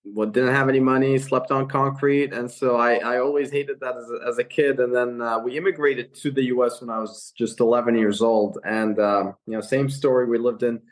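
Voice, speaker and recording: A man's voice: medium pitch, steady tone, fairly quick, hardly any pauses, low-quality recording. A young man from Iraq, a guest on a podcast interview, telling his story earnestly with frequent fillers and restarts. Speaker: guest, real estate investor. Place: California.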